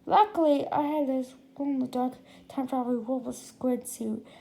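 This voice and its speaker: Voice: sits high, moderate pace, vocal fry, dark tone, slight background noise. A storybook reader voicing a smug boy inventor who is proud of himself and repeats his phrases. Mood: proud, breezy.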